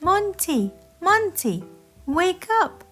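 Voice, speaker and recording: An excitable little girl elephant, put on by a woman reader, pitched high and swooping with playful eagerness. She pleads and bounces through a children's storybook, with music behind her. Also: drawn-out words, exaggerated voice. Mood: excited, playful.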